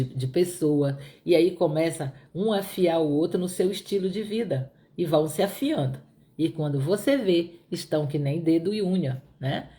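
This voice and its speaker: Low mellow woman with a Pará accent. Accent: Pará, Brazil. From Brazilian Northeast (Piauí). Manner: conversational, repeats points, asks listeners "né?". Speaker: Bible teacher. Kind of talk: Bible study teaching.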